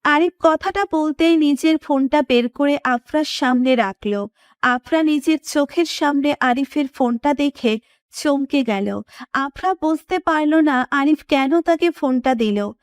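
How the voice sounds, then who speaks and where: Female narrator for scripted romance audio story, high voice, dry recording. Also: measured pace, no echo.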